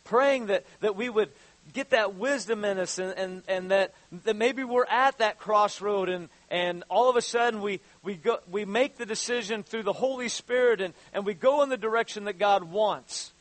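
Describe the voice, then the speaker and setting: A pastor in his fifties preaches with a high voice, doubling back over his words before rising into urgent calls to his listeners. The sound is dry and close, with almost no room around it. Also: no room sound. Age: 50s. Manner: halting, repeats words, rises to fervent exhortation. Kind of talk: sermon.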